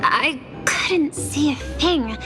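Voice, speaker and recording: A woman's voice: high-pitched, animated, extremely slow, exaggerated voice. An actress voicing a confused, bewildered young student in a video game, with music behind her. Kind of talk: scripted video game dialogue.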